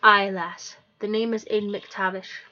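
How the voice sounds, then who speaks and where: A woman voicing a gallant young hero who speaks in a loud, steady, old-fashioned way. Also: medium pitch, measured pace, dull audio. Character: gallant young male hero with Scottish-style speech.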